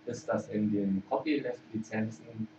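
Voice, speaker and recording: Low-voiced German man in an echoey hall. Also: a little slow, noisy background. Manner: relaxed, thinking aloud with frequent hesitations. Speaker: conference speaker. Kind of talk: informal conference talk. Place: lecture room at a conference. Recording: echoey hall, distant mic, muffled.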